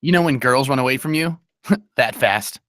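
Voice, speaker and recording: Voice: medium pitch, moderate pace, a bit bright, dry recording, close to an everyday voice. A smart-aleck young boy as an adult performer reads him at a table read, close and dry in sound. He is teasing, sceptical and mocking.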